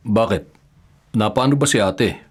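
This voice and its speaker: A man's voice: fairly low pitch, a little slow, slight background noise, close to an everyday voice. A man playing a kind, forgiving older father in a Filipino radio drama. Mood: kindly, placating.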